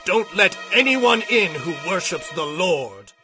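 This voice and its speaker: A man's voice: sits high, very animated, slow, music in the background, exaggerated voice. An actor playing a commanding, ruthless warrior king in a dramatized audio Bible, with emphatic, declamatory delivery.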